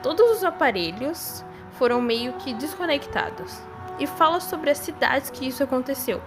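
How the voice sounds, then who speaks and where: A young woman with a Brazilian accent giving casual, speculative commentary on a horror series in an informal, conversational way. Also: sits high. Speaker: video creator. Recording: voice-over with music behind it. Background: background music.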